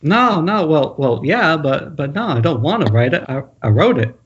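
A man's voice: fairly high pitch, very animated, moderate pace. A man playing a manic, nervy 1980s horror novelist in a screenplay table read, rambling and repeating himself with punchy, profane bursts. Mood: eager, jittery. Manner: frantic, rambling, repetitive, punchy exclamations, profane. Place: at home on a group internet call. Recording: muffled, noisy internet call with clicks.

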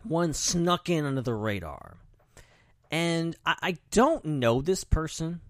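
A man's voice: a little high, very animated, slow pace, dry recording, studio quality. A man co-hosting a podcast in casual chat, talking informally in loose fragments peppered with "like". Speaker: podcast co-host.

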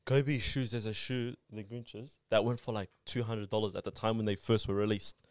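A man's voice: on the low side, fairly even intonation, moderate pace, dry recording. A man co-hosting a casual podcast, rambling in a laid-back way.

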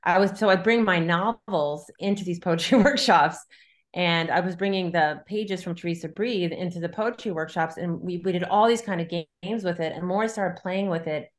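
A woman's voice: fairly low pitch, moderate pace. A middle-aged woman talking casually as an interviewed novelist, thinking aloud in loose, looping sentences. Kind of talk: casual conversational interview about writing.

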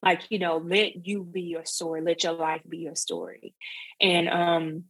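Husky-voiced woman rambling as a guest. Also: on the low side, a little slow, a bit bright, no room sound, rough recording. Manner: rambling, full of fillers like "um" and "you know". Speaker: guest, content creator. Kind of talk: casual interview chat.

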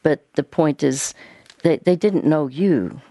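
An older woman hosting a radio counseling show, speaking gently and haltingly with many pauses and fillers. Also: low voice, dry recording.

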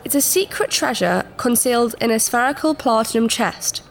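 An actress playing a proud, secretive alien princess in disguise, formal and precise, in a sci-fi audio drama. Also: female voice, a little high, lively intonation, normal speed, creaky, a little hiss or hum. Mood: earnest, businesslike. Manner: formal, precise, earnest, easily affronted.

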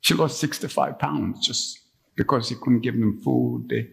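A middle-aged man from Uganda preaching a sermon as pastor, telling stories and jumping up to high, emphatic peaks when stirred. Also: lively intonation, unhurried, gravelly. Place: church. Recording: long reverb of a church.

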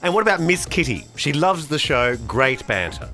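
A man's voice: a little high, sing-song, normal speed, sharp tone, soft background music. A man chatting casually on a podcast as a contributor who reads things out. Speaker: podcast contributor reading out reviews. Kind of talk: casual chat, reading aloud.

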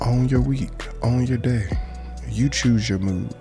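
A man's voice: mid-range voice, slow. A man guiding a meditation with informal prayer in a casual, colloquial, encouraging way. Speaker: meditation guide. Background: music behind the voice.

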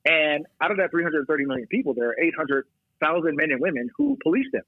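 A man talking about policing as a podcast guest, telling stories fast and emphatically with quoted exchanges. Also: a little high, very animated, slightly rough, no room sound. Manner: fast, emphatic storytelling with quoted back-and-forth. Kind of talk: casual podcast chat. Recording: tinny phone-line audio.

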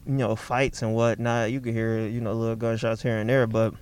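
A man's voice: mid-range voice, brisk, smooth. A young comedian from the Detroit area, chatting casually in an interview and acting out the people in his stories. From Detroit area, Michigan. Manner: animated storyteller, acts out voices, laughs often. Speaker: comedian guest.

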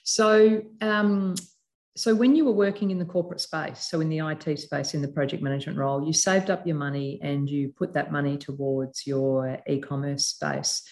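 A woman with a notably low voice leads the conversation as interviewer. She asks probing follow-up questions in a casual, conversational way. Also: fairly even intonation, moderate pace, darkish tone.

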